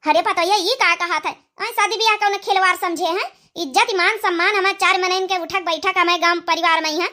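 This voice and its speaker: A woman's voice: very high voice, fairly even intonation, fast talker, pushed and bright. A woman voicing an old, honour-minded village mother-in-law in a comic cartoon, with a plaintive, dramatic manner and an Awadhi accent. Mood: indignant.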